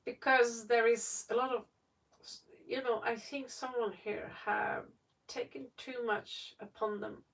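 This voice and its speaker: A woman talks slowly through a casual tarot livestream, with plenty of fry in her voice. She rambles and thinks aloud as she reads. The microphone sounds some way off from her. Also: a little high, fairly even intonation, fairly bright tone. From Norway. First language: Norwegian. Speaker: tarot reader, livestream host. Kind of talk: casual live tarot reading, chatting. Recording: distant microphone.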